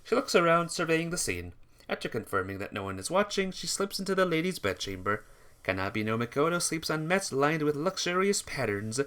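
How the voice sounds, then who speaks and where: A man narrates a visual novel in an informal amateur read-aloud. His voice is bright and slightly high, with lively intonation, and he trips over words now and then. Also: fairly quick. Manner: stumbles over words and rereads phrases.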